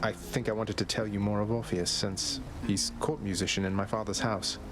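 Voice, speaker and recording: An actor voicing a young godling prince in a video game, dry, wry and understated. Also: male voice, fairly low pitch, fairly even intonation, moderate pace, over music, lightly acted. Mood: earnest.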